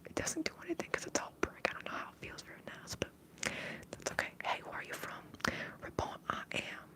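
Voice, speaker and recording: Whispering woman with affected Southern American accent. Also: brisk. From upper Midwest, United States. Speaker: livestream roleplay host. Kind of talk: whispered ASMR bartender roleplay and chat.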